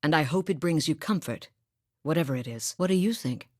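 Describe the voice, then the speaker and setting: A woman's voice: sits low, animated, fairly quick, no room sound, studio quality. A woman voicing a determined young woman hunter, earnest and sincere in a video game. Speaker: voice actor. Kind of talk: video game character dialogue.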